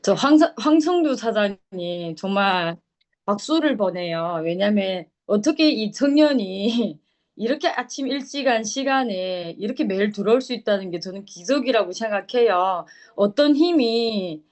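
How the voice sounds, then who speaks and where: A woman's voice: medium pitch, lively intonation, measured pace, darkish tone. A woman offering casual, supportive remarks to a group in an online meeting. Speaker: meeting participant giving encouragement.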